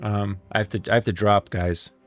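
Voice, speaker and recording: A man's voice: fairly low pitch, fairly even intonation, normal speed, dry recording, faint music behind. A man hosting a livestream, chatting casually and thinking aloud to viewers in informal, slangy language. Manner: informal slangy talk addressing viewers as guys and bros. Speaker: livestreamer and host.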